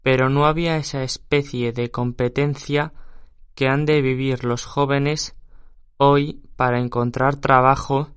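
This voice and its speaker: A man reading scripted passages and interview answers aloud for a listening exercise, with a measured, even delivery. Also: medium pitch, smooth, dry recording, studio quality. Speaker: audio reader for a listening exercise.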